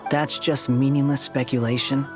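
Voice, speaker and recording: A man's voice: mid-range voice, little pitch movement, normal speed, over music, natural voice. An actor playing a blunt, aloof young man in a fantasy game, with curt, dry, challenging delivery. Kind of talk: scripted game dialogue.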